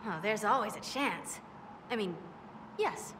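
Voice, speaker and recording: A woman playing an eager, scatterbrained young dwarf arcanist in a fantasy game, chatty and excitable with halting asides. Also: mid-range voice. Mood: tentative, eager. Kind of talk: fantasy video game acting. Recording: distant hall with clear background noise.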